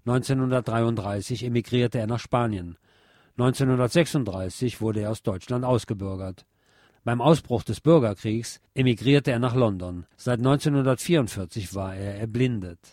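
A man with a mid-pitched voice presents and reads literary prose for a radio series. His delivery is measured and deliberate, with a declamatory touch. The recording is very dry and close. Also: a little mellow, no echo. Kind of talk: scripted literary reading and narration.

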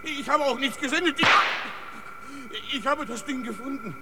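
Frightened captive pleading in echoing noise. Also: male voice, very high voice. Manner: protesting, halting, evasive. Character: frightened male captive pleading his innocence under interrogation. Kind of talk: German radio drama acting. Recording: echoey, very noisy, long reverb.

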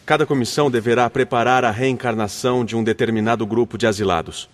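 A man's voice: mid-range voice, fairly even intonation, quick pace, big-room sound, natural voice. A man acting a courteous, businesslike commission leader in a radio drama, formal in speech.

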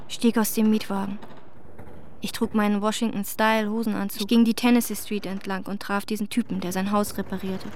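A woman's voice: mid-range voice, a little mellow, slight background noise, lightly acted. An actress playing a shaken professional woman in a German radio drama, telling her story in a reflective, descriptive first person. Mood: measured. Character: shaken professional woman visiting a wrecked neighborhood.

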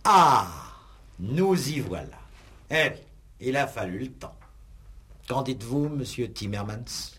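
Pushy judge in an old french radio cop play, raspy, super animated, formal and sarcastic. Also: male voice, mid-range voice, slow pace, long pauses, exaggerated voice. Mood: triumphant, sharp. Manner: theatrical, pressing, sarcastic, formal. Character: insistent, exasperated male examining magistrate interrogating suspects. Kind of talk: 1960s French radio police drama acting.

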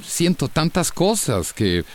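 An actor playing an earnest, reserved man in love in a radio soap, halting, with a Central American Spanish accent. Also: fairly high pitch, sing-song. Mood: flustered, tender. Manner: halting, hesitant. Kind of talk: radio soap opera acting.

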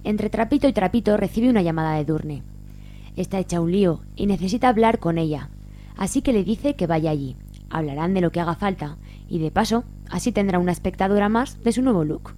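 A woman reading scripted narration for a radio serial in a wry, measured way. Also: fairly low pitch, lively intonation, mellow, a little hiss or hum.

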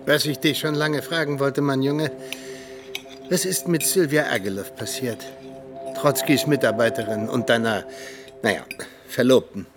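An actor playing a disillusioned, hot-headed ageing former Soviet agent in a radio drama, with a sardonic manner. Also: male voice, mid-range voice, steady tone, moderate pace, pauses often, over music, close to an everyday voice. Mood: probing, sardonic. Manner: heated, sardonic speechifying.

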